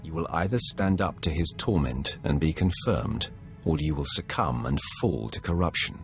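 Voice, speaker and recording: An actor playing a stern Sith master in a video game, speaking with measured, formal authority. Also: male voice, extremely low pitch, animated, vocal fry, faint music behind, exaggerated voice. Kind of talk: dramatic video game voice acting.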